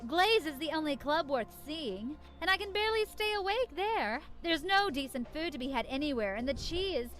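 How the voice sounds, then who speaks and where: A woman's voice: sits high, animated, normal speed, a bit bright, faint music behind. An actress playing a brash, scornful young city woman in a video game, sardonic and disdainful.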